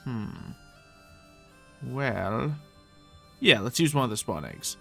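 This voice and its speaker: A man with a medium-pitched voice hosts an improvised video game roleplay. He chats casually to himself, thinking aloud as he plays. Soft music runs behind his voice. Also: animated, takes it slowly, creaky. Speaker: gaming video host playing in character.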